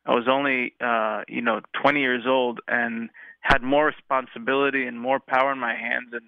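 A man's voice: mid-range voice, level tone, moderate pace, vocal fry, clear, resonant tone, dry recording. A man in his thirties with an American accent, a guest telling his story in an earnest, reflective way, with many fillers and restarts. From Israel, raised in the United States. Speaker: interview guest, musician. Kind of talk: casual interview storytelling. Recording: tinny phone-line sound.

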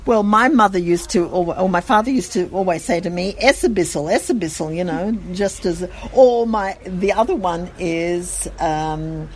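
A woman's voice: lowish voice, moderate pace, hardly any pauses, slight background noise, poor audio. A middle-aged woman who owns a gift shop, chatting casually in an interview in her store.

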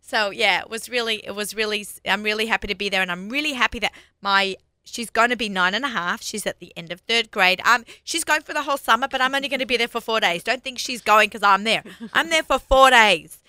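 A woman's voice: on the high side, brisk, few pauses, sharp tone, no echo. A woman in her forties with an Australian accent, hosting a radio show and chatting casually in the studio, laughing and restarting often.

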